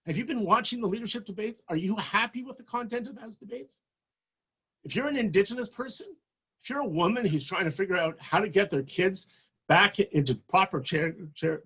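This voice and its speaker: A man's voice: sits high, breathy edge. A man in his sixties speaking passionately and emphatically as a political candidate at a campaign event. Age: 60s. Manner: passionate, emphatic, rising to forceful peaks.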